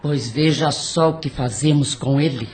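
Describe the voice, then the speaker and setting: An actor, a woman, playing a menacing, taunting leader of dark spirits in an audio drama. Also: low-pitched, moderate pace, distant mic, lightly acted. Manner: taunting, scornful.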